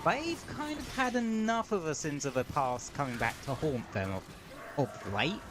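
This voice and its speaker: A man's voice: fairly high pitch, expressive, moderate pace, background noise. A man streaming a video game, chatting casually and trailing off into fillers as he plays. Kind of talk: casual gameplay commentary.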